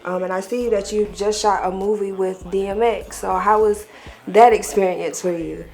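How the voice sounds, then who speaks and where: A woman's voice: medium pitch, fairly even intonation, normal speed, gravelly, darkish tone. A woman hosts a casual sit-down interview. Her voice sits in a middle range. She asks friendly questions and answers with short, encouraging words. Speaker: interviewer host.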